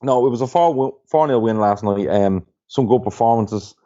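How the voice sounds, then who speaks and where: A man hosting a casual football podcast, relaxed and loose as he guides the talk. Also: mid-range voice, normal speed. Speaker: podcast host. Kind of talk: casual chat. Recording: dull lo-fi podcast audio.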